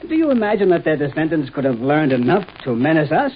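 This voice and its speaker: An anxious earnest professor, kinda high voice, 50s radio play, dull sound. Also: male voice, big pitch swings, quick pace. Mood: anxious, protesting. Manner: earnest, protesting. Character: earnest, anxious male scientist professor, pleading his case. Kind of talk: 1950s radio drama acting. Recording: dull, noisy 1950s radio recording.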